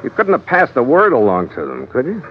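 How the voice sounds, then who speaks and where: An American man acting an easygoing sea captain who narrates his adventures in measured, vivid, dryly understated old-time radio style. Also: mid-range voice, wildly swooping pitch. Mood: suspicious. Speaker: lead actor and narrator. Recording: muffled vintage radio recording. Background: loud dramatic music.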